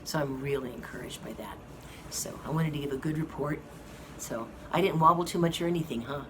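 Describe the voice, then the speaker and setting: A hoarse woman giving a slow, halting update to her viewers from a hospital room. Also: low voice. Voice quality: slow, halting speech with many fillers. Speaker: vlogger giving a health update. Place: hospital rehab room with an air conditioning fan. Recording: noisy room with fan hum. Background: air conditioning fan.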